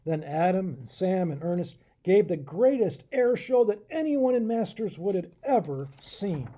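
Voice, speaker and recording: A man, a pastor, telling a children's story in an expressive, animated way and speaking directly to his listeners. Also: high voice, measured pace, a little hiss or hum. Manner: animated, asks the listeners questions.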